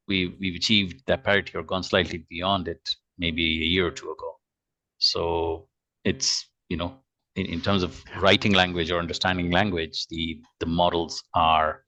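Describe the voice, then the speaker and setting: A man with a low but bright, forceful voice adds technical detail to a webinar answer. He hesitates often and fills his pauses with short sounds. He is speaking over a video call. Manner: hesitant, many fillers. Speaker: guest presenter.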